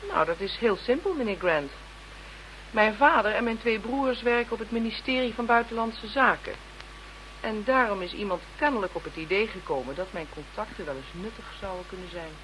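Female investigative assistant, played by an actress: direct, composed, matter-of-fact, bright radio drama voice. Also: mid-range voice, animated, moderate pace, slight background noise, natural voice, rough recording. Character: poised, matter-of-fact woman helping an investigation. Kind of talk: radio play acting.

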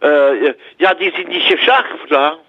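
Man, high animated voice, stammering, broken grammar, dull phone sound. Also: measured pace, creaky, slightly rough, no room sound. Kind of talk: phone conversation.

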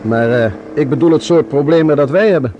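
An actor playing a blunt, grumbling police sergeant in a Dutch radio drama, talking in a colloquial way. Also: male voice, fairly high pitch, brisk, muffled recording, lightly acted. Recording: radio play, faint outdoor street noise.

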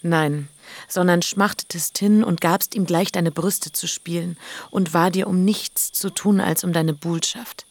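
A woman's voice: fairly low pitch, steady tone, measured pace, no room sound. An actress playing Leah, an elder sister and mother, speaking curtly and reproachfully in a radio play. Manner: reproachful, curt.

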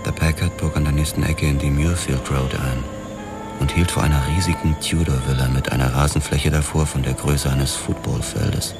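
An actor in a radio drama narrating in the first person as a detective, in a brooding, measured hardboiled style. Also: male voice, rumbling low, fairly even intonation, loud music behind the voice.

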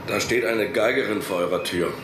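A man's voice: lowish voice, a little fast, a bit bright, slight background noise, close to an everyday voice. An actor playing a wary, formal male executive in a naturalistic radio drama. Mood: guarded.

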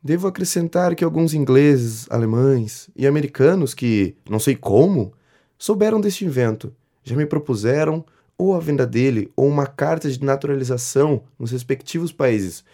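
An actor playing a pompous, flattering inventor who petitions a politician, grandiloquent and effusive in a period comedy audio drama. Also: male voice, mid-range voice, normal speed. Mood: ingratiating, boastful. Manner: grandiloquent, effusive, theatrically indignant. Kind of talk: audio drama acting of a 19th-century comedy.

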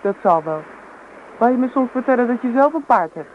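A playful young woman voiced by an actress in a radio drama, teasing and down-to-earth. Her intonation is very lively and her manner wry. The recording is thin and phone-like, with faint noise. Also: fairly high pitch, fairly quick, lightly acted. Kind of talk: Dutch radio play acting. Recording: noisy tinny old radio recording.

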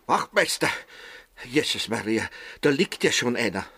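Husky, excitable village man. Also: medium pitch, a little slow, dry recording, full character voice. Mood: alarmed. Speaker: actor in radio drama. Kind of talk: radio drama acting.

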